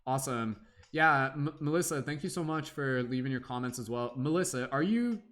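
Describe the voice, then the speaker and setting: A man's voice: mid-range voice, expressive, brisk, fairly bright tone. Rambling young man on a livestream. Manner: rambling, many fillers, hums to himself. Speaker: livestream tarot reader. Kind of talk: casual livestream chat.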